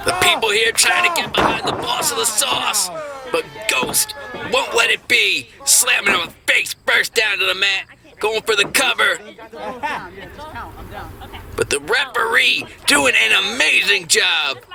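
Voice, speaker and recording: A man giving excited, dramatic play-by-play wrestling commentary, emphatic and often shouting. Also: sits high, unhurried, music in the background. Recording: clearly noisy background.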